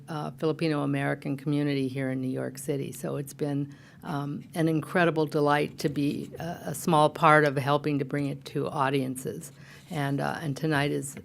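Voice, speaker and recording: A woman's voice: sits low, creaky, fairly bright tone. A woman hosting an event, giving an informal, hesitant welcome with joking asides to an audience. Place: public event venue.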